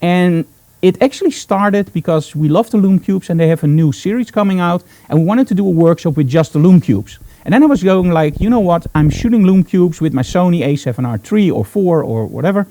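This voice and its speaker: A man's voice: high voice, animated, a little fast, mellow, dry recording. A man with a Dutch accent hosting an informal live broadcast, explaining gear in an enthusiastic, emphatic, chatty way. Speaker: host, photographer.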